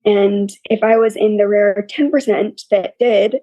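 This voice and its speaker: Scratchy, quiet young woman, mellow. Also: medium pitch, flat delivery, measured pace, dry recording, muffled recording. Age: young adult. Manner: open and matter-of-fact, listing hardships plainly. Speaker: interview guest. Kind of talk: candid personal storytelling.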